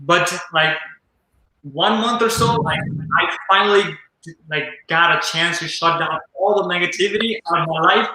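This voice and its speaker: Teenage man, earnest, filler-heavy, fairly high voice, bright tone, very echoey room. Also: normal speed, slight background noise. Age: teens. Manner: earnest, upbeat, lots of "like" and "you know". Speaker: livestream host. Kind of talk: casual live group chat.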